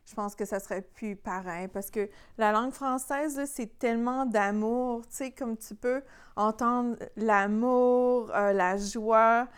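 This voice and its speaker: A woman with a Québécois French accent, speaking as an interview guest in an informal, halting conversational way. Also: fairly high pitch, steady tone, clean tone. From Quebec, Canada. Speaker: singer-songwriter, interview guest.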